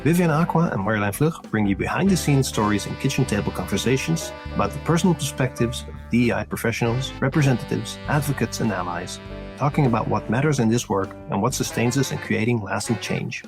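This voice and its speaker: A man with a mid-range voice reads the opening of a podcast in a smooth, even, scripted way. Guitar music plays clearly under his voice. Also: medium pitch, quick pace, poor audio. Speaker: podcast announcer. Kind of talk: scripted show intro. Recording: voice over music bed.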